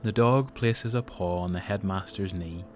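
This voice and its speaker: A man with a Northern Irish accent reading scripted, suspenseful dramatic story narration in deliberate phrases. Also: low voice, darkish tone. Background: music.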